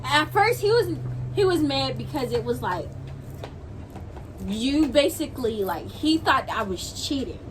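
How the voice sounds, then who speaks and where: A young adult woman in casual storytime chat, slangy and halting, repeating phrases for emphasis. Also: sits high, sing-song. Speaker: video creator, guest co-storyteller. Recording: clearly noisy home video recording.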